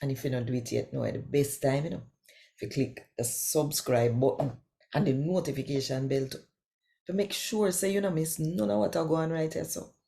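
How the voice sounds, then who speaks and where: A woman with a Jamaican accent, speaking as host and reading scripture in a deliberate, expressive storytelling way. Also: deep voice, creaky. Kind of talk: devotional reading in Jamaican Patois.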